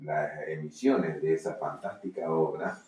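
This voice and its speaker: Deep-voiced Argentine man, heavy room echo. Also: unhurried, a little mellow. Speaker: interviewer, presenter. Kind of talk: spoken introduction of a guest's books. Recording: very echoey room.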